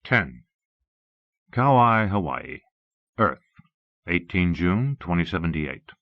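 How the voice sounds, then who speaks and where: Low-voiced male audiobook narrator. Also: very animated, takes it slowly, no echo. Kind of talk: scripted first-person novel narration. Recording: muffled old cassette audiobook.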